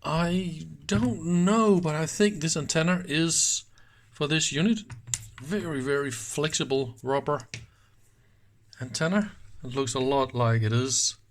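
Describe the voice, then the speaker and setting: Slow-talking man, higher-pitched voice, thinking aloud. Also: a little hiss or hum. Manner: informal, thinking aloud with exclamations. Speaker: host of electronics teardown videos. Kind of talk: casual hands-on commentary. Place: indoor workbench.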